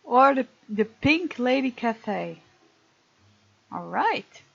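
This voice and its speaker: A woman's voice: on the high side, very animated, very slow, vocal fry, no room sound. A woman hosting a let's play of a visual novel, reading the game text aloud and commenting on it. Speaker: gaming host. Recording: dull lo-fi mic, game music underneath.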